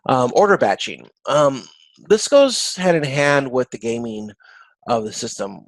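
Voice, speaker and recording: A man giving an informal slide lecture to a class on a video conference, explaining haltingly and often repeating words. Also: mid-range voice, very animated, no echo. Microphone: video conference call.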